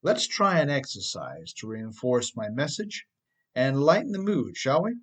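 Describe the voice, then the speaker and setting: A man's voice: medium pitch, big pitch swings, unhurried. Man narrating a self-help audiobook. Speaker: author reading own book, narrator.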